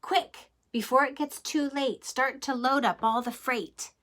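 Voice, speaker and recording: Slow, bright-voiced woman reading to kids. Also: high-pitched. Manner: gentle, expressive storybook reading with questions to children. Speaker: kindergarten teacher. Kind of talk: children's picture book read-aloud.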